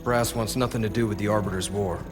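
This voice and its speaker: An actor playing a stern, pragmatic military commander in a video game, speaking in a clipped, authoritative way. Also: male voice, lowish voice, fairly even intonation, fast, close to an everyday voice. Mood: stern, concerned. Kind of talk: cinematic video game acting. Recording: loud game music behind.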